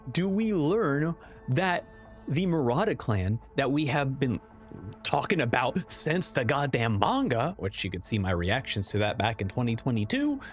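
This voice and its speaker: A man with a somewhat high-pitched voice hosts an enthusiastic video game review. His delivery is excitable and emphatic, with casual, informal phrasing. Soft music plays underneath. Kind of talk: enthusiastic video essay commentary.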